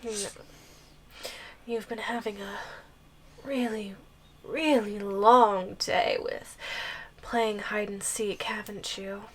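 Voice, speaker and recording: A woman's voice: medium pitch, fairly even intonation, a little hiss or hum, lightly acted. A woman playing a possessive yandere giantess girlfriend in an ASMR roleplay, sweetly menacing and playfully teasing. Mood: playfully controlling. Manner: slow, playful teasing with frequent giggles. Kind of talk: ASMR roleplay audio drama.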